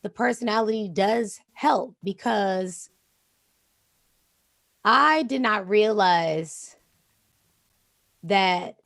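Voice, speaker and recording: A woman with a slightly raspy voice talks in a calm, easy flow. She is a DJ guest in a casual interview, often tossing in "you know what I'm saying." Her pitch sits in the middle. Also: very slow, long pauses. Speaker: DJ and Twitch streamer, guest.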